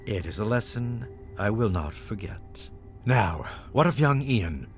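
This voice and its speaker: A man voicing a formal, solemn leader of a vampire clan in a video game, speaking in measured, courteous phrases. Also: fairly low pitch, sing-song. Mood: measured, serious. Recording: music playing behind the voice.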